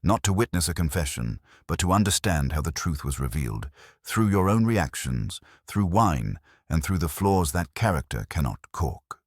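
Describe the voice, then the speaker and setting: An imperious, learned detective from a mystery audiobook, acted out by a man. He speaks formally and deliberately in a very deep voice with varied intonation, recorded dry. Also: sing-song, husky, no echo, full character voice. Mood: lecturing, exacting. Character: imperious, erudite male detective, deliberate and terse. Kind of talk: detective audiobook character dialogue.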